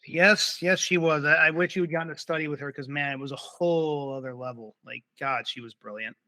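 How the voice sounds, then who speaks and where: Male podcast host, higher bright voice, agreeable and affirming, dry sound. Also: steady tone, fairly quick, dry recording. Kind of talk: casual interview talk.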